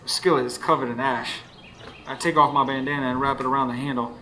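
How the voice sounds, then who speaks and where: A man's voice: mid-range voice, fairly even intonation, quick pace, bright, forceful tone, natural voice. An actor playing an earnest, weary young stable hand who narrates his own story in a radio drama. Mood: reflective. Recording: live stage recording, faint background noise.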